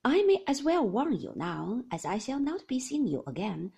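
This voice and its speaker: Woman playing a curt, husky old magistrate. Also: a little high, a little mellow, heavily acted. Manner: curt, commanding. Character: stern older male magistrate.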